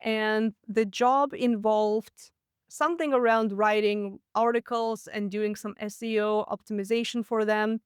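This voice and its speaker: Chatty Russian-accented woman, smooth voice. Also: medium pitch, fairly even intonation, no echo, studio quality. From Latvia. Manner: candid storytelling, quick and chatty, laughs often. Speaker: podcast host. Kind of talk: solo business podcast monologue.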